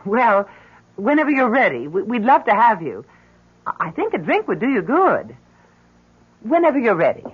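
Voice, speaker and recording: A woman's voice: fairly high pitch, very animated, normal speed, pauses often, husky, exaggerated voice. An actress playing an anxious, hesitant middle-aged mother who tries to soothe, in the manner of 1970s radio drama. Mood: coaxing. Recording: dull, lo-fi 1970s radio recording.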